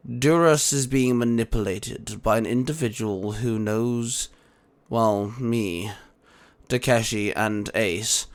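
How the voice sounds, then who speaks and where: A man voicing a calm, grave mentor of heroes in an audiobook, speaking in a measured, emphatic way. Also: fairly low pitch. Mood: explanatory. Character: calm, grave male mentor guarding the multiverse. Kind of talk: dramatic audiobook character acting.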